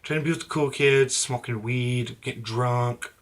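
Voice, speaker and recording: A young man with a hoarse, cold-roughened voice, telling a confessional story in a halting, rambling way full of restarts. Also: medium pitch, fairly even intonation. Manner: rambling, halting, frequent restarts and self-corrections. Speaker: vlogger. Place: at home.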